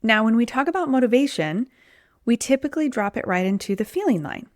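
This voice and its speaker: A woman's voice: on the high side, big pitch swings, a little fast, no room sound, clean studio sound. A woman hosting her own podcast as a weight loss coach, talking in a chatty, conversational way, her voice a little off from being sick. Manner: chatty, conversational, says "like" and "um" often. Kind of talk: solo podcast talk.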